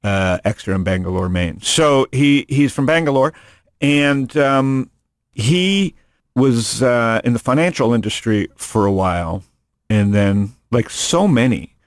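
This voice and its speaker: A man's voice: on the low side, takes it slowly, no room sound, clean studio sound. A man hosting a podcast in casual chat, rambling and joking, often restarting his sentences. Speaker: podcast host. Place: studio room.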